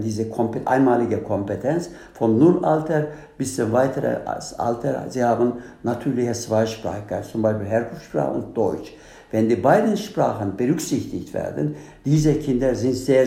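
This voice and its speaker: Gravelly man. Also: medium pitch, dark tone. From Turkey. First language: Turkish. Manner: earnest, halting non-native German with many restarts. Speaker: guest, candidate for integration council. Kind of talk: panel discussion. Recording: echoey room.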